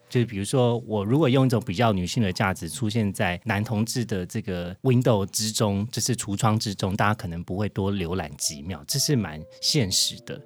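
A man with a Taiwanese Mandarin accent answering interview questions in a reflective, candid, conversational way. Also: fairly low pitch. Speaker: podcast guest, interviewee.

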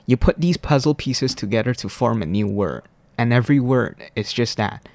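Man in his twenties, vocal fry, formal precise phrasing, student interviewee, dry close recording. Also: medium pitch, lively intonation, darkish tone, no room sound. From Malaysia. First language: Cantonese. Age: 20s. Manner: formal, deliberate, precise wording. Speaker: university student guest. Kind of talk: explanatory interview answers.

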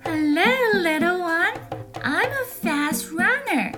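Casting: woman as leopard big sister, friendly, high-pitched, slow and clear phrasing, children's storybook with music. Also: animated, exaggerated voice. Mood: bright, proud.

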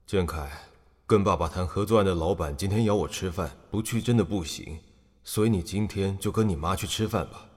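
A man's voice: low voice, brisk, big-room sound. A man playing a busy, apologetic father in an audio drama, speaking to his child. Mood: apologetic, hurried. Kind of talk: Mandarin audio drama acting.

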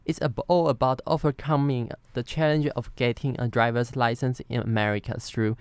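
Clear-voiced Taiwanese man telling a story. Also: medium pitch, fairly even intonation, moderate pace, darkish tone, dry recording. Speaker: podcast co-host. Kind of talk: semi-scripted personal storytelling.

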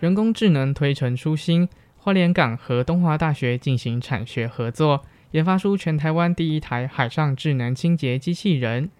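A man's voice: fairly high pitch, normal speed, few pauses, a little mellow. A young man with a Taiwanese Mandarin accent, anchoring scripted campus radio news. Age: young adult. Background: music.

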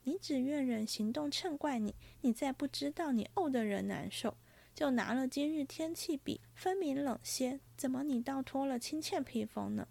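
A woman voicing a sharp-tongued, tearful young noblewoman in a storybook reading, with a plaintive, reproachful manner. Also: sits high, moderate pace, vocal fry, voice pushed up.

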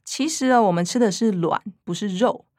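An actress playing an earnest, fussy young younger sister in a radio drama, with a Taiwanese Mandarin accent. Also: female voice, mid-range voice, moderate pace, darkish tone, no room sound, clean studio sound, natural voice. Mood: earnest explaining.

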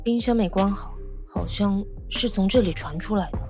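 A woman playing a calm, observant young investigator in an audio drama, speaking in a composed, understated way. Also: medium pitch, moderate pace, soft background music, close to an everyday voice. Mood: calm, deliberate.

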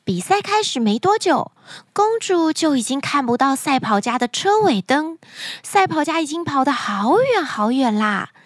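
Lively high-voiced woman storyteller. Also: normal speed, no room sound, studio quality. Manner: animated, addresses young children directly. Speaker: children's story podcast host. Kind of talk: expressive storytelling for children.

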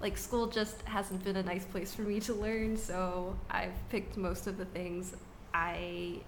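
A woman's voice: mid-range voice, level tone, takes it slowly, slight background noise, low-quality recording. A young woman from Taiwan, chatting casually as a student podcast co-host, friendly and easygoing with frequent giggles. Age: young adult. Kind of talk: casual chat.

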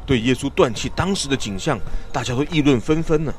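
An actor playing a talkative, weary village traveler in a radio drama, speaking in an emphatic, sighing, storytelling way. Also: male voice, mid-range voice, a little fast, natural voice. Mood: awed, uneasy.

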